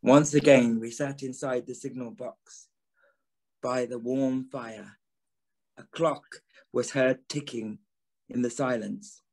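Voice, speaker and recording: A man playing a pensive gentleman who narrates an eerie ghost tale in a measured, brooding, dramatic way. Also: mid-range voice, steady tone, low-quality recording.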